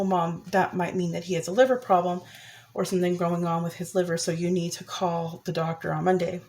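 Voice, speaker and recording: Young woman, vlogger, lowish voice, congested from a cold, chatty, lots of ums. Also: a little mellow. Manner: chatty, many ums and restarts. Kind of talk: casual personal update.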